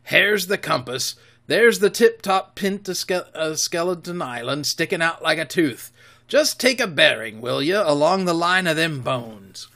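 A man voicing a cunning, commanding old pirate cook who talks in gruff sailor's slang, loud and blustering. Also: on the high side, animated, measured pace, exaggerated voice.

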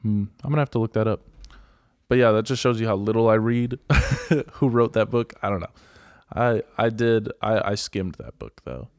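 An American man chatting casually as a podcast co-host, with a deadpan, self-mocking manner. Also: on the low side, normal speed, smooth, dry recording, studio quality. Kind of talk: casual banter.

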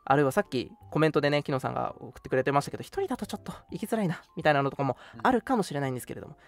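Animated, fast-talking man hosting radio chat. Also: fairly high pitch, soft background music. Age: young adult. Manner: conversational, explanatory, addresses co-host and listeners. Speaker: radio show host.

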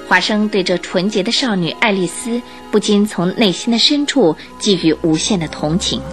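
A woman reading scripted narration for a radio drama in an expressive storytelling manner. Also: mid-range voice.

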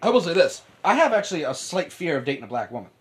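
A young man from upstate New York co-hosting a podcast, talking excitably, laughing often and swearing. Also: fairly high pitch, fast talker. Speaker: podcast co-host. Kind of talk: casual chat.

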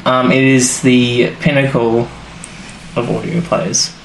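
A man's voice: medium pitch, flat delivery, slow pace, gravelly. A man co-hosting a podcast, chatting casually and recounting stories in a rambling way with plenty of restarts. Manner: rambling, restarts and fillers while recounting plots. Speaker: podcast co-host. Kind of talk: casual chat. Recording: roomy sound with faint background noise.

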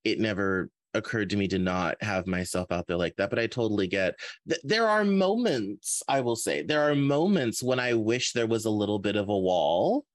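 A man's voice: fairly low pitch, animated, moderate pace, dry recording, studio quality. A man chatting casually as a podcast interview host, often repeating and rephrasing his words as he feels out a thought. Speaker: interview host and fiction author.

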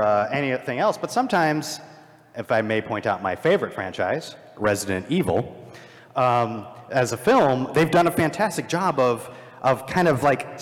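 A man giving halting, explanatory commentary on films and games as a panelist at a live event. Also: mid-range voice. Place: large indoor convention panel room. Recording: echoey large hall, live panel sound.

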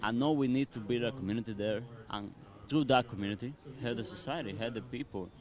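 Cuban-accented man, halting chat. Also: mid-range voice, a little mellow. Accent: Cuban Spanish. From Cuba. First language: Spanish. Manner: halting, many restarts and fillers. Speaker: community guest. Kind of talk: casual live-stream chat. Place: outdoor event lounge.

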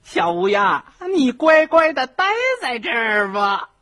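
A woman's voice: a little high, lively intonation, a little slow, a bit bright. A woman voicing a sly, greedy fox in a children's radio play, gloating and given to mocking laughter. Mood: mock-soothing.

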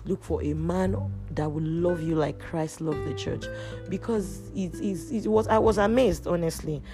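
A woman hosting a podcast, telling a personal story in a casual, loud, animated way with many restarts. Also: on the low side, unhurried. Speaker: podcast host. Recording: music playing underneath. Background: guitar music.

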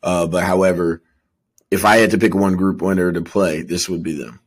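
A man with a deep voice and a husky grain chats about soccer as a podcast co-host. His delivery is casual and conversational. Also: fairly even intonation, normal speed.

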